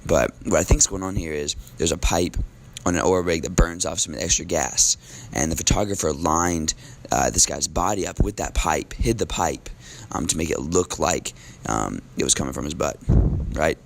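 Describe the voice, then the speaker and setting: A man teaching a recorded classroom lesson while losing his voice, talking in a relaxed, casual way with frequent ums. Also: very deep voice, moderate pace, gravelly, slight background noise.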